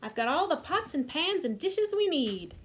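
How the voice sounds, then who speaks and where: A woman reading aloud and voicing Ruby, an excited, bossy little girl bunny from a storybook. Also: high voice, normal speed, pitched above the performer's own voice. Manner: bright, bossy, eager.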